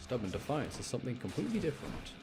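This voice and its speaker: A man giving casual live game commentary as a streamer, chatty and playful, reacting out loud. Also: medium pitch, very animated, rapid-fire. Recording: faint game music behind. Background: video game music.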